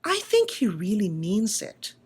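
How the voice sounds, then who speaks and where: Lady doing a shy little wooden puppet guy from a kids story, unsure and earnest, pitch jumps around. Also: huge pitch swings, unhurried, husky, heavily acted. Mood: puzzled, earnest. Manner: hesitant, questioning, earnest. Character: small, timid, self-doubting male wooden puppet person. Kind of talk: children's storybook character voice.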